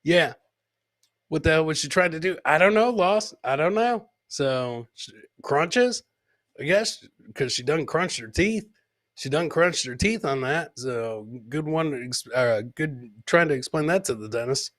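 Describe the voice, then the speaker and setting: A man's voice: fairly high pitch, animated, a little slow, a bit bright. A man hosting a comedy podcast, giving joking commentary on clips, repeating his phrases for effect and mimicking the people he talks about. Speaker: host of a live comedy podcast.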